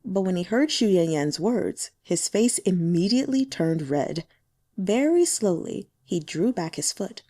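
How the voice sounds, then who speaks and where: Animated, mellow woman narrating a story. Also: on the low side, a little slow. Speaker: audiobook narrator. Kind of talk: expressive scripted story narration.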